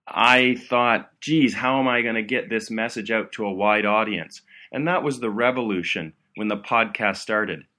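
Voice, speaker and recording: A man's voice: lowish voice, sharp tone. A man hosting a medical education podcast, explaining with upbeat enthusiasm. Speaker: podcast host.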